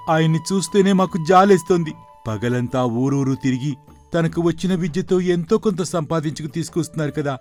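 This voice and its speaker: Man playing an earnest son, higher-pitched voice. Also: natural voice. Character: young son pleading with his mother.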